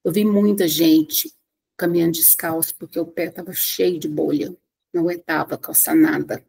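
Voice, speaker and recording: A woman's voice: low voice, fairly even intonation, normal speed, vocal fry, darkish tone, slightly rough. A woman from Brazil giving earnest, practical advice in a casual live presentation, with many small restarts and tag questions. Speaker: pilgrim sharing trail tips. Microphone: webcam or computer mic on a live stream.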